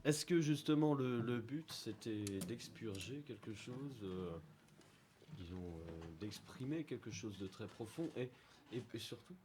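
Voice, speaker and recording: A man hosting a radio interview, asking long questions with bursts of loud emphasis. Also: medium pitch, animated, a little slow. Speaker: radio host. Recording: noisy line with clicks.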